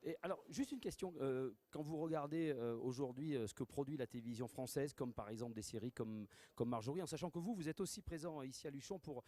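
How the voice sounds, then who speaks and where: A man interviewing two actors at a festival, asking brisk, leading questions. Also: mid-range voice, dark tone.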